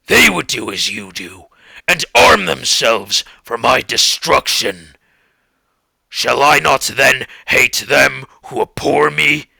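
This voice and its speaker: Gravelly, anguished monster. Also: male voice, mid-range voice, pushed and bright, dry recording, full character voice. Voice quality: gravelly, rasping. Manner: slow, archaic, theatrical delivery. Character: anguished male monster pleading with his creator, bitter and eloquent. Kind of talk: dramatic literary monologue.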